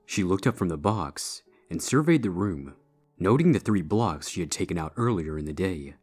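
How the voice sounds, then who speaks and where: A man's voice: sits low, animated, normal speed, darkish tone. A man giving a scripted narration of a story as its narrator. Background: background music.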